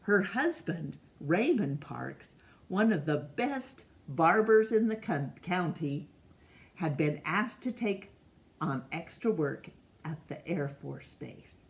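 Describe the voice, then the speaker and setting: A middle-aged woman reading a picture book aloud in a measured, expressive way for children. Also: fairly low pitch. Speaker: storytime reader. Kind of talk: read-aloud storybook narration. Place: small room indoors.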